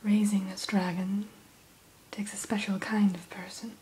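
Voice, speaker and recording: A woman whispering softly as a dragon hatchery keeper in an ASMR roleplay, gentle and a little formal, close to the mic. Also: mid-range voice, flat delivery, low-quality recording. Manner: soft whisper throughout; gentle, unhurried, mildly formal.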